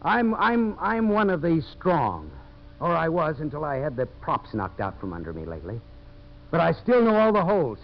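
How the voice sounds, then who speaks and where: A tough, determined American hero of a vintage radio play, in a fairly high male voice. His intense delivery swings widely in pitch, on a muffled, slightly hissy old broadcast recording. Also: sing-song, normal speed. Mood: impassioned. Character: tough, determined man turned earnest champion of settlers. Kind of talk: 1950s American radio drama acting.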